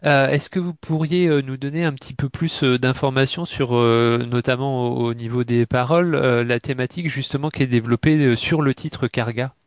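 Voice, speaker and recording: A man interviewing a band, asking polite, halting questions with many fillers. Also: mid-range voice, steady tone, no echo, studio quality.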